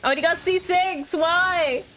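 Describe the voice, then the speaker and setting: A woman's voice: very high-pitched, slow pace, pushed and bright, a little hiss or hum. A woman doing casual live game stream commentary, playful and rambling with mock-indignant asides. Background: game music.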